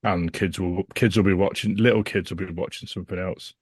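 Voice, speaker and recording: A man's voice: sits low, fairly even intonation, fairly quick, slightly rough, dry recording, clean studio sound. A man chatting casually and bluntly as an opinionated podcast guest. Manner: blunt, sweary.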